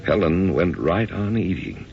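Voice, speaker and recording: An actor playing a bewildered, conventional middle-aged American husband in a radio drama, with a gravelly, hesitant delivery. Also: male voice, deep voice, animated, loud music behind the voice, exaggerated voice. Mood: uneasy narration. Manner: hesitant, halting. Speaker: actor and first-person narrator. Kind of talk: old-time radio drama acting. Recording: dull, muffled radio drama recording.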